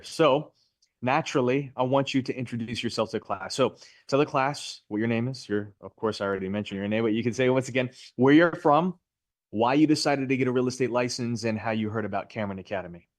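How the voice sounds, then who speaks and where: A man's voice: medium pitch, no echo. A man teaching a live class, upbeat and chatty, asking his students questions. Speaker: real estate instructor.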